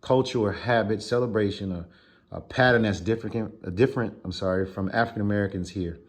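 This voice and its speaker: Low-voiced, hesitant male interviewer. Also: quick pace. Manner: hesitant, many fillers and restarts. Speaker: interviewer, student.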